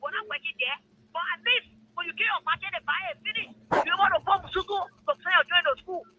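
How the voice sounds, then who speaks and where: A woman's voice: extremely high pitch, fast, pushed and bright, a little hiss or hum, low-quality recording. A middle-aged woman with a Liberian accent, a phone-in caller complaining informally and passionately, repeating phrases for emphasis.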